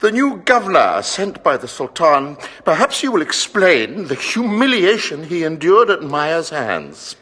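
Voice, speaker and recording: Sharp, raspy, menacing male court official. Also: on the high side, very animated, unhurried, few pauses, heavily acted. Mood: menacing, indignant. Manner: pointed, threatening, formal. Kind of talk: audio drama acting. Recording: long reverberant hall echo.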